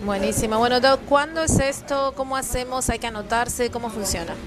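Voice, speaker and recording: Argentine woman host, slightly high voice, chatty, busy crowd around her. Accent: Argentine Spanish. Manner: chatty, quick follow-up questions, laughs easily. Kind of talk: casual community interview.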